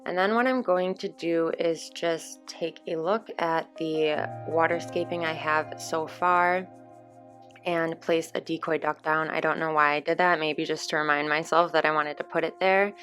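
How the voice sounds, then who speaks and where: A young woman hosting her channel, chatting casually over gameplay, her voice a little hoarse. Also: low-pitched, moderate pace. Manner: relaxed, chatty explaining with fillers. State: slightly hoarse from screaming. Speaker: gaming channel host. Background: soft background music.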